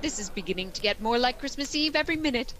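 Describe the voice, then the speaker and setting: A woman playing a quick-witted schoolteacher with dry, wisecracking delivery in old-time radio comedy style. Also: a little high, animated, crowd noise, close to an everyday voice. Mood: wry. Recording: noisy track with audience behind.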